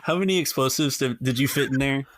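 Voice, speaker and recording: A man's voice: mid-range voice, quick pace, no echo. A man acting as game master, casually explaining rules and asking players questions.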